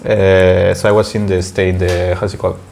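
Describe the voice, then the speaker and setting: A man's voice: deep voice, level tone, brisk, gravelly, a little mellow, slight background noise. A young man from Peru with a Spanish accent, co-hosting a casual podcast chat and talking with many fillers and restarts. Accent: Peruvian Spanish. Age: young adult. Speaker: podcast co-host.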